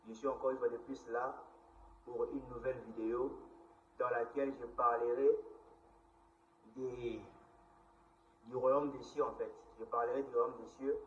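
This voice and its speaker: The voice is a man with a Congolese accent and a middling pitch, preaching to a recorder. He sets out his teaching in deliberate, halting, repeated phrases. The sound is roomy and slightly muffled, as from a lo-fi home setup. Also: level tone, far from the mic, slight background noise. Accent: Congolese (Kinshasa). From Kinshasa, DR Congo. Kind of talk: recorded Bible teaching, reading scripture aloud. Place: indoor room at a computer. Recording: roomy, echoing, dull lo-fi sound.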